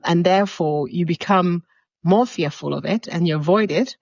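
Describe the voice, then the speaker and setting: A woman from Uganda coaches an online audience through a live talk, her voice pitched on the low side for a woman. She speaks in a conversational, encouraging way, turning often to address her listeners directly. The sound is dull and muffled, recorded dry on a livestream microphone. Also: moderate pace, a little mellow, no room sound. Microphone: video call or livestream mic.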